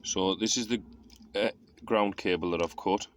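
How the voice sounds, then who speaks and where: Man, lowish voice, informal car DIY host, thinking aloud, self-deprecating, noticeable background noise. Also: normal speed. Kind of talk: casual hands-on demonstration, thinking aloud.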